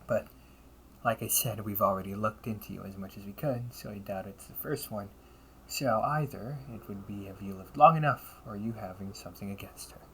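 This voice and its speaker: Man acting a low-voiced, shrewd demon hunter interrogator. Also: a little hiss or hum, rough recording. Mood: calm, probing. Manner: measured, deliberate, probing, with pauses and hushed phrase endings. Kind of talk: audio roleplay, scripted character monologue addressed to listener.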